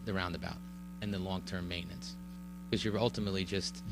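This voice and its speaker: A man speaking at a village board meeting, explaining a road project in a halting way with many fillers and restarts. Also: low-pitched, fairly even intonation. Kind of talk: public meeting testimony. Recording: mains hum under the voice.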